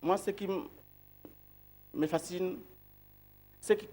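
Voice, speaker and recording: Higher-voiced man preaching reflectively. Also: steady tone, takes it slowly, a little mellow. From outside Belgium. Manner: reflective, poses rhetorical questions and repeats key words. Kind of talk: sermon.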